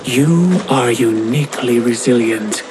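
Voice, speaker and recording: A man voices a calculating, shadowy tempter in a fantasy game. He is ominous and persuasive, measuring out his words slowly at a medium male pitch. Heavy music swells behind him. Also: natural voice, low-quality recording. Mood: seductive, grandiose. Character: calculating, persuasive mysterious tempter promising power. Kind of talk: video game cutscene acting.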